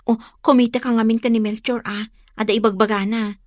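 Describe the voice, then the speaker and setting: A woman's voice: a little high, flat delivery, a little fast, dry recording, clean studio sound, natural voice. An actress with an Ilocano accent playing a teasing, meddling older woman in a radio drama. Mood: playful teasing.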